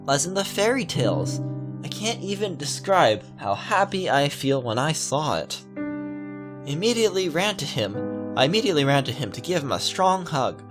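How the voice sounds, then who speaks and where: A man's voice: fairly high pitch, voice pushed up. A man voicing an innocent little girl reading her diary from a visual novel, earnest and childlike. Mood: delighted.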